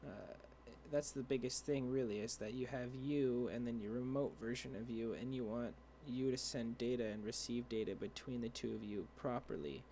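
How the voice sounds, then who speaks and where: Halting young man with a gravelly, dry voice. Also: mid-range voice, fairly even intonation, dark tone, a little hiss or hum. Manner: rambling, halting with many fillers and restarts. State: dry voice, clearing throat. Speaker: tutorial creator. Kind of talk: screen-share coding tutorial.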